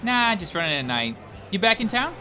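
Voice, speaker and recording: Man as excitable bartender, wildly swooping bright voice. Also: high voice, brisk, faint music behind, full character voice. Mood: friendly. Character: friendly, excitable male night-shift bartender, an old pal. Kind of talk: audio sketch comedy acting.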